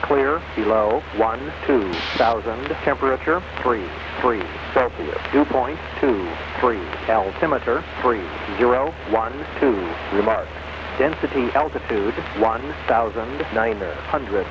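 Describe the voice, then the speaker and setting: An automated male voice reading airport weather in clipped, measured phrases. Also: mid-range voice. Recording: muffled radio broadcast, engine noise.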